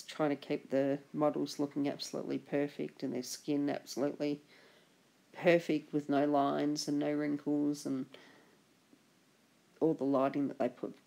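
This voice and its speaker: A woman art instructor narrates a drawing lesson in a relaxed, chatty voice-over. Her voice is husky and gravelly from a chest infection. She keeps an encouraging tone and often trails off before restarting a thought. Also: sits low.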